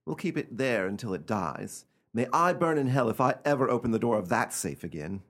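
A man acting in a radio play as a nervy, high-handed gentleman with an agitated, melodramatic and commanding manner. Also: animated, fairly quick. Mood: panicked.

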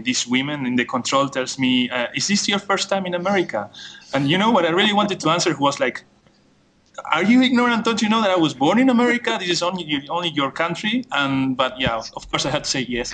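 Animated man from Argentina. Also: a little high, a little hiss or hum, low-quality recording. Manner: animated storyteller, laughs while talking. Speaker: podcast guest. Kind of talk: casual group discussion.

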